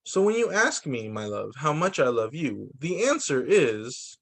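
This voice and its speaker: A man who teaches young children, reading picture books aloud in an expressive, sing-song storytime delivery. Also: a little high, a little slow, vocal fry. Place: remote video call story session.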